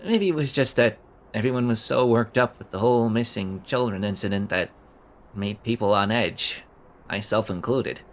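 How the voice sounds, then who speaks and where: A man's voice: on the low side, moderate pace, close to an everyday voice. A man acting in an audio drama as an awkward, overeager young man who stammers and rambles nervously. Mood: uneasy. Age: young adult.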